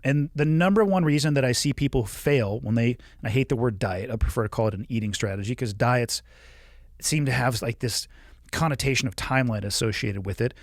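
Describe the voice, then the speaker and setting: A man's voice: mid-range voice, a little fast, no room sound, studio quality. A man in his forties hosting a podcast, reading a listener's email and answering with frank, coaching advice. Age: 40s. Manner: frank, coaching, blunt at times. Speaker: podcast host.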